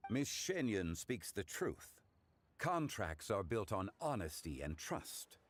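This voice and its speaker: An actor playing a dignified, composed male scholar in a video game, speaking in formal, measured, courtly phrases. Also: on the low side, very animated, full character voice.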